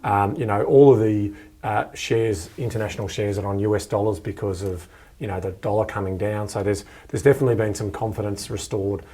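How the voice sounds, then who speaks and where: Podcast host: Australian male, slightly low and husky, casual and colloquial interviewing style. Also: fairly even intonation, fairly quick, warm tone. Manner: informal, colloquial. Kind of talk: casual interview chat about economics.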